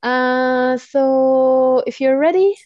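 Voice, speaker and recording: A woman hosting a casual interview, friendly and full of quick affirmations and fillers. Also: high-pitched, no echo, lo-fi sound, faint music behind. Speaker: interviewer host.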